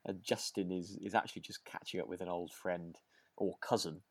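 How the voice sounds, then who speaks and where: A man's voice: sits low, steady tone, fairly quick, breathy edge, dry recording. A man co-hosting a podcast, casually recapping in a hesitant way as he searches for words. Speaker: podcast co-host. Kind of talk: casual chat recapping a radio drama.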